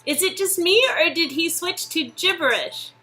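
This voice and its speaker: A woman's voice: very high voice, moderate pace, sharp tone, faint music behind. A woman reading game dialogue aloud in a casual, playful way, with a teasing, flirty manner. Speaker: co-reader performing a visual novel game.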